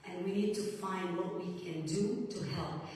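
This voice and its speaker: A woman from Sudan gives an earnest, halting speech to a live audience as an author and speaker, pausing often with fillers. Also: lowish voice, a little mellow, slight background noise. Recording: echoey hall from far off.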